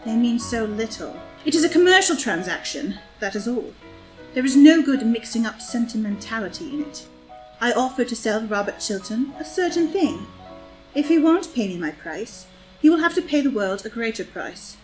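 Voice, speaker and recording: A woman's voice: on the high side, expressive, normal speed, darkish tone, soft background music, lightly acted, low-quality recording. An actress playing a worldly, scheming society woman with a languid, arch wit, in an audio drama reading of a stage play. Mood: cold, scornful. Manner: languid, arch, epigrammatic delivery. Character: scheming, worldly, witty society woman, cynical and coolly seductive.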